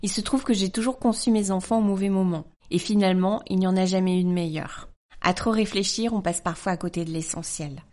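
A woman in her forties hosting a podcast, reading a listener's letter aloud in a gentle, measured voice and then her own warm reply. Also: medium pitch, steady tone. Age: 40s. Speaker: podcast host.

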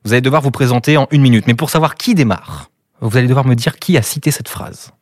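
A man's voice: mid-range voice, fast, darkish tone, dry recording, clean studio sound. A man from Brittany hosting a conversation with guests, upbeat, with playful game-show asides. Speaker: podcast host. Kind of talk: hosted conversation.

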